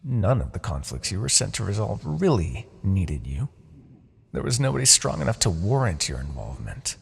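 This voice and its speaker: A man playing a smug, seductive, possessive Demon King in a fantasy ASMR roleplay, with a gravelly, breathy voice and theatrical, taunting delivery. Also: lowish voice, very animated, full character voice. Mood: mocking, condescending. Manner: theatrical, taunting, drawn-out phrasing with soft asides.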